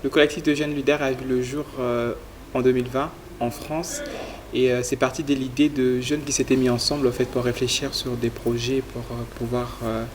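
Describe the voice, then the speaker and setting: Earnest young man, flat even delivery. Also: medium pitch, moderate pace, noisy background. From Congo. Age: young adult. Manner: earnest, speaks in a flat even tone with frequent fillers. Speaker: guest, youth leader. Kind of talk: studio interview answers.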